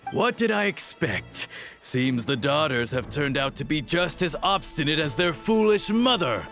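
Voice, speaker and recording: A middle-aged baron, the theatrical villain of an anime game. The delivery is unhurried and very animated, dripping with pompous mockery. Faint music plays underneath. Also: male voice, on the high side, full character voice. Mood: mocking contempt. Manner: pompous, mocking, deliberate.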